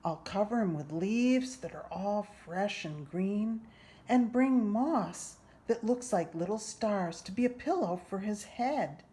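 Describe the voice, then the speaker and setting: Woman voicing an eager young storybook boy, higher pitched. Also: animated, slow pace, dark tone. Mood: eager, earnest. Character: eager, imaginative young boy who loves nature. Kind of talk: children's storybook read-aloud. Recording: roomy echo, faint background noise.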